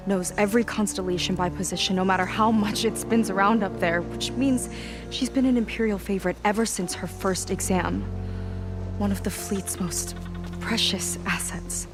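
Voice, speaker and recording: An actress playing a proud, determined young naval petty officer in a video game cutscene, speaking with earnest intensity. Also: female voice, mid-range voice, normal speed, natural voice. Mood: earnest, resolved. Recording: music behind the voice.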